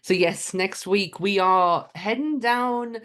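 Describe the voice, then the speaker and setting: A woman's voice: medium pitch, slow pace, a bit bright. A woman from Sunderland with a Mackem accent, co-hosting a casual podcast chat. She giggles often, swears offhandedly and trails off mid-sentence. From Sunderland, England. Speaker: podcast co-host.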